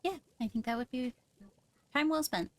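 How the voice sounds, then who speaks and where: Casting: woman as polite, reserved fantasy courtier, game roleplay. Highish voice, brief understated delivery, faintly noisy recording. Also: moderate pace. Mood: subdued, polite. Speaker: player in a Minecraft roleplay. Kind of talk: casual improvised roleplay dialogue.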